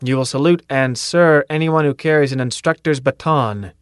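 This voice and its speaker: A man reading an audiobook, voicing a loud, tough male drill sergeant who bellows orders and insults. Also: mid-range voice, measured pace, no echo, clean studio sound, lightly acted. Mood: barking.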